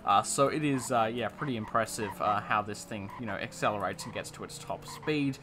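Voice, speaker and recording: A man's voice: lowish voice, fairly quick. A young man giving casual, enthusiastic commentary while reviewing a car in a video game, with lots of fillers and repeated words.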